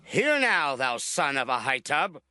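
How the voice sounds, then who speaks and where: A man's voice: mid-range voice, sing-song, a little slow, music in the background. A man playing a wrathful, paranoid king in a scripture drama, declaiming archaic lines theatrically. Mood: accusing. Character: wrathful, paranoid king accusing his servants of treason.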